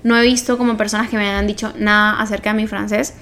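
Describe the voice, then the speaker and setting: A woman's voice: medium pitch, little pitch movement, fast, a bit bright. A young woman with a Venezuelan accent telling personal stories in casual podcast chat, informally and often correcting herself as she goes. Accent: Venezuelan Spanish. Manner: informal, self-correcting storytelling. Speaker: podcast co-host.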